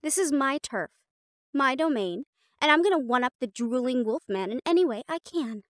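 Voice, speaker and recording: A woman's voice: sits high, lively intonation, normal speed, no echo. A woman voicing a snobby, catty teenage catgirl cheer captain in an audio roleplay, talking in a haughty, teasing and bossy way. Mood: annoyed.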